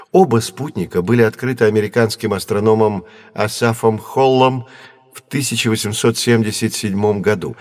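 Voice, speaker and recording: An actor playing a patient, knowledgeable teacher in an educational audio drama, explaining in measured, clear phrases. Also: male voice, fairly low pitch, dry recording, clean studio sound, close to an everyday voice. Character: patient, knowledgeable male astronomy teacher guiding children.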